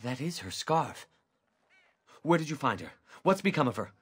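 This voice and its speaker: An actor playing a grieving middle-aged elf hunter in a video game, gentle, halting and grave. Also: male voice, medium pitch, sing-song, slightly rough, no echo. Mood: subdued grief. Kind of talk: video game character acting.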